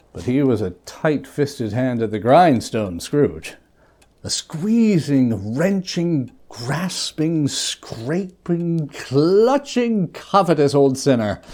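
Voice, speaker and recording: A man's voice: mid-range voice, dark tone. A man reading a classic story aloud as a dramatic narrator, theatrical and given to chatty asides to the listener.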